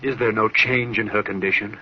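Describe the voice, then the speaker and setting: A man's voice: lowish voice, steady tone, a little fast, lightly acted. An actor playing a proud, hot-tempered Scottish clan lord and father in a declamatory old radio horror drama. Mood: troubled, regretful. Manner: blustering, declamatory. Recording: muffled, hissy old radio broadcast.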